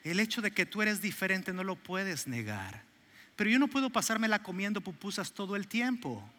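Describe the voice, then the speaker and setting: A man's voice: sits high, very animated, normal speed, bright, forceful tone, slight background noise. A middle-aged man from El Salvador with a Salvadoran Spanish accent, preaching a sermon and telling stories with jokes for the congregation in a large church hall. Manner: storytelling, joking with the congregation. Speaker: pastor. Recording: long hall reverb.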